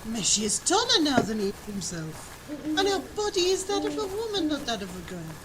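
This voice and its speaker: An actress playing an earnest, superstitious French governess with formal speech and a French accent in a radio drama. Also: female voice, high-pitched, wildly swooping pitch, normal speed, crowd noise, poor audio. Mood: wistful, concerned. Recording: clear noise, night ambience behind.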